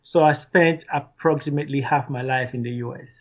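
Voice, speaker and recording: A man in his thirties from Cameroon, a startup founder, answering interview questions in a measured, earnest way. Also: mid-range voice, fairly even intonation. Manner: measured, earnest, some restarts.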